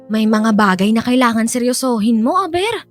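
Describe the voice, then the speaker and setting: An actress playing a feisty, sharp-tongued older woman in a radio drama, scolding and bantering as she resists a suitor. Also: a little high, animated, moderate pace, faint music behind, natural voice. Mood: scolding, dismissive.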